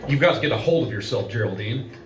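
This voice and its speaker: A man playing a calm, reasonable doctor, matter-of-fact and reassuring, in 1940s radio drama style. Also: medium pitch, fast, over music, close to an everyday voice. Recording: roomy, distant mic, background noise.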